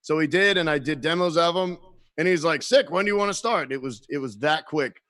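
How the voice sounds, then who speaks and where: Male guest for a home podcast, a touch higher-pitched, very dry close sound, lively storyteller who swears freely. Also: a little fast, no echo. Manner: animated storyteller, acts out quotes, swears freely. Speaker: guest musician. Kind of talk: casual chat.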